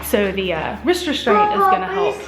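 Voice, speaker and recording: A woman's voice: very high voice, very animated, normal speed, a loud crowd. A woman talking informally and candidly, as a mother vlogging about daily life in a casual, chatty style. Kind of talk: casual vlog chat.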